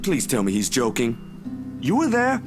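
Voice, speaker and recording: A man's voice: mid-range voice, huge pitch swings, a little slow. An actor playing a teasing, earnest young man who is a loyal friend in a visual novel game. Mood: earnest, incredulous. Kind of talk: acted game dialogue. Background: guitar music.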